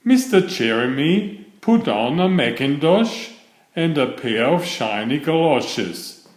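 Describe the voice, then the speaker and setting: A man narrates a children's story at a slow, deliberate pace, his pitch rising and falling with plenty of animation. The voice sits in a medium male register, with audible room echo around it. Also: animated. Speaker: storyteller reading children's picture books. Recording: roomy echo on the voice.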